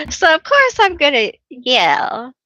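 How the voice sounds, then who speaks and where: Bright-voiced, slow-talking woman tarot reader. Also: high voice, no echo, lo-fi sound. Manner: chatty, laughs often, self-teasing; calls herself loud, a yeller. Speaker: tarot reader hosting a live stream. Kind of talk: casual live-stream chat.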